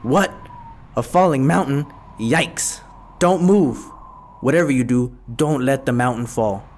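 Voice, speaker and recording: A man's voice: medium pitch, measured pace, natural voice. A man voicing a hungry, vain and gullible wolf villain in a children's puppet show, blustering and theatrical. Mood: panicked.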